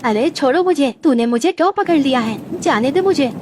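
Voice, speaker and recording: A woman's voice: high-pitched, lively intonation, normal speed, background noise. A woman voicing a mean, jealous male crow, an elder brother in a cartoon, with a scolding manner. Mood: alarmed. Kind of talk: Hindi moral-story cartoon voice acting.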